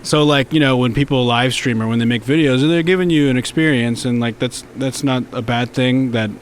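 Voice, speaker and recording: A man's voice: medium pitch, brisk, a little hiss or hum. Creator guest, man, mid voice, chatty and loose. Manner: chatty, laughing, filler-heavy. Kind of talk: casual interview.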